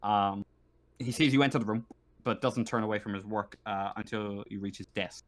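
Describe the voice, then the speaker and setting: A man acting as game master, improvising narration for a tabletop game and often pausing to search for words. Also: fairly low pitch, level tone.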